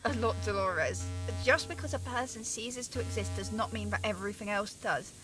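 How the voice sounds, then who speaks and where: A woman's voice: medium pitch, normal speed, over music, lightly acted, low-quality recording. A woman playing a guilt-ridden scientist who blames herself, talking with anguish and urgency. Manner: anguished, self-blaming, urgent pleading. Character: guilt-ridden female scientist, younger sister. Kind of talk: amateur radio drama acting.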